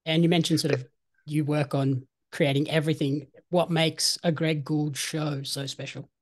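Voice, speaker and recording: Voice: mid-range voice, little pitch movement, moderate pace. An interviewer asking questions in a friendly, encouraging way.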